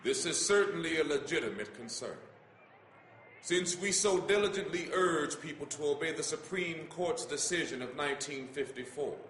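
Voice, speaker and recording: A man's voice: fairly high pitch, a bit bright, slight background noise. A man giving a dramatic recitation of a written letter in an oratorical, impassioned manner with emphatic phrasing.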